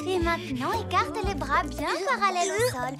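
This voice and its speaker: Super high little girl cartoon voice, bossy n eager, bouncing all over, music behind. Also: animated, normal speed. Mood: eager, instructing. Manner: bossy, encouraging, exclamatory. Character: bossy, enthusiastic little schoolgirl eager to help her friend. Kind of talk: French children's cartoon voice acting.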